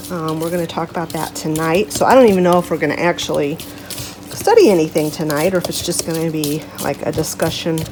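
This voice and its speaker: Congested, gravelly-voiced woman chatting. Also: fairly low pitch, background noise. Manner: rambling and chatty, self-correcting. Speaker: vlog host. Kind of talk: casual vlog chat.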